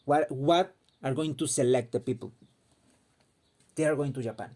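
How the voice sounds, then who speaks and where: A man's voice: mid-range voice, normal speed, dark tone. A man from Mexico, giving an impassioned casual opinion monologue and often repeating words as he searches for them. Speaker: fighting game commentator.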